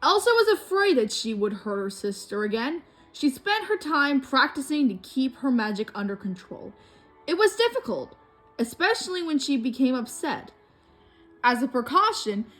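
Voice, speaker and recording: High, lively female storybook reader. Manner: expressive storytelling. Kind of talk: expressive read-aloud of a children's storybook.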